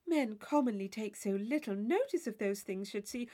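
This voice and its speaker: A woman's voice: mid-range voice, animated, moderate pace, warm tone, natural voice. A woman voicing a fussy, chatty married lady in a storybook reading, gushing and rambling about small matters. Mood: impressed. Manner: gushing, rambling, easily impressed. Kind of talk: audiobook character dialogue.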